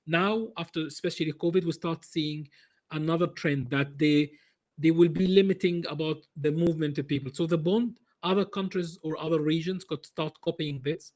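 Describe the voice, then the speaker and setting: A man answering interview questions in a halting way, with many restarts and repeated words. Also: on the high side, steady tone, a little mellow. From Egypt. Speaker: business consultant guest.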